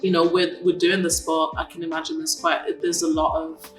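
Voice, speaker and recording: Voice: medium pitch, flat delivery, moderate pace. An interviewer asking long questions hesitantly, with many fillers. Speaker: interviewer, host. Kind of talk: casual interview. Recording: distant and echoey, music behind.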